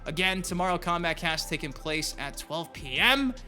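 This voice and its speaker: A man's voice: a little high, expressive, normal speed, bright, forceful tone. A man speaking as host of a gaming news channel, giving casual, colloquial opinion commentary straight to his viewers. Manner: colloquial, profane, addresses viewers directly. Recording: music behind the voice. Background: music.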